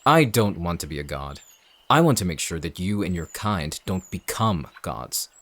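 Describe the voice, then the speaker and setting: Guy acting as a deep voiced smug villain boss, cold n menacing, measured delivery, fantasy audio roleplay. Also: big pitch swings, a bit bright, dry recording, heavily acted. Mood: coldly calculating. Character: cold, calculating male villain leading an anti-shifter operation.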